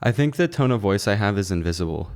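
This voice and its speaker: A man's voice: fairly low pitch, lively intonation, fast talker. A man co-hosting a podcast in casual chat, cutting in with teasing remarks. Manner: teasing, interjecting. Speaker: podcast co-host.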